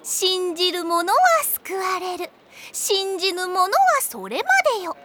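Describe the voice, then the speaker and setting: High-pitched, pompous schoolgirl fortune-teller. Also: level tone, measured pace, heavy background noise. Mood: haughty, flustered. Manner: theatrical, pompous, salesy, easily flustered. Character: pushy teenage schoolgirl posing as mystic fortune-teller. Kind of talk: Japanese anime comedy audio drama acting. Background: background music.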